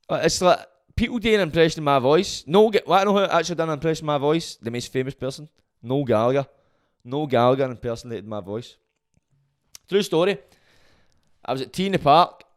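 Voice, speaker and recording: A Glaswegian man hosting his own podcast, rambling and swearing freely in a loud, booming voice. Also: clear, resonant tone. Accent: Scottish, Glaswegian. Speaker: podcast host. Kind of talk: casual monologue.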